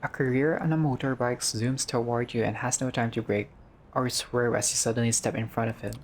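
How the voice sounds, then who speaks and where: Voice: mid-range voice, fairly even intonation, fairly quick. A gamer doing a casual playthrough, reading visual novel text aloud with informal asides, some swearing and the odd stumble. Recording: clearly noisy recording.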